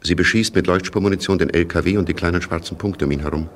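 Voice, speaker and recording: A man's voice: low voice, fast talker, slight background noise, lightly acted. A man narrating a literary radio play about war, as a storyteller reading scripted prose. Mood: urgent. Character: male storyteller of a young soldier's war.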